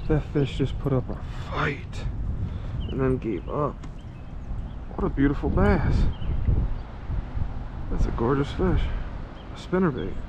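A man at a small pond records himself on a head-mounted camera while casting and reeling. He chats to viewers in a loose, friendly way and gets excited over each fish. Outdoor ambience comes through clearly on the camera mic. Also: medium pitch, takes it slowly, long pauses, background noise. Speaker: fishing vlogger. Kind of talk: casual first-person fishing commentary. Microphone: head-mounted action camera.

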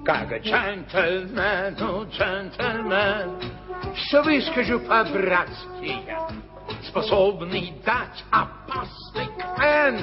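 A smug doctor talks slowly in a high, lively voice, teasing and sure of himself. The music comes up clearly over a dull old radio recording. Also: male voice, exaggerated voice. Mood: sing-song. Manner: drawling, sings a teasing ditty. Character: a smug doctor, sly and self-assured.

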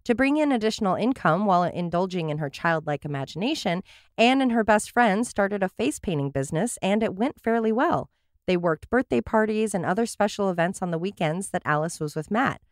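A woman's voice: medium pitch, lively intonation, fairly quick, no echo, studio quality. A woman hosting a true crime podcast, reading her case notes with wry, sarcastic asides. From Portland area, USA.

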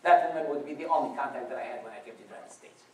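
A man's voice: medium pitch, very fast. A young man from Iraq with an Arabic accent, telling his life story in a church talk with wry, dry humor. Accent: Iraqi Arabic. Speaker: guest speaker. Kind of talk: personal testimony talk. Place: church auditorium. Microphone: far camera across the room. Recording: roomy echo from far off.

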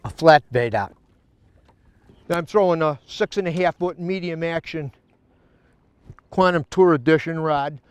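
Older folksy man, slightly high-pitched. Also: measured pace, long pauses, smooth. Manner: folksy, colloquial. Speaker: fishing show host. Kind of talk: casual fishing chat. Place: outdoors on a boat on open lake. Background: faint music.

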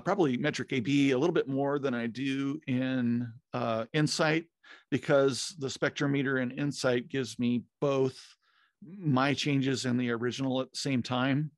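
Gravelly man rambling through studio talk. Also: mid-range voice, fairly even intonation, normal speed, no echo. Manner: rambling, many fillers and restarts. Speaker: podcast co-host. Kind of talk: casual technical chat. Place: home music studio. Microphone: studio mic with headphones, video call.

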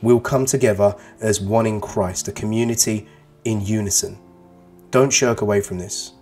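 A man's voice: lowish voice, normal speed, a little mellow. A man in his thirties from East London, whose first language is Arabic, giving an earnest, persuasive lesson to pupils with emphatic repetition. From England. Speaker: teacher. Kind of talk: voice-over religious lesson for pupils. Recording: voice-over with faint guitar music.

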